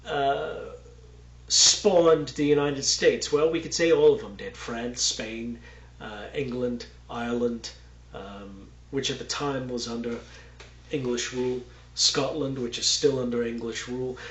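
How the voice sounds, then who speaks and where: A man's voice: mid-range voice, fairly even intonation, unhurried, echoey room, slight background noise, poor audio. A man from Texas giving a casual, rambling Bible lesson with many fillers and asides. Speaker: Bible teacher.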